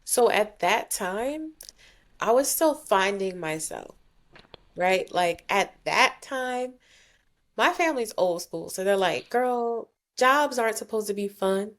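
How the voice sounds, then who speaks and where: A woman's voice: medium pitch, animated, takes it slowly, fairly bright tone. A woman in her thirties from South Jersey, a guest talking candidly about her career in a casual podcast interview, often acting out what others said to her. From South Jersey, USA. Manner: candid and chatty, acts out quoted voices. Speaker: guest, nonprofit founder.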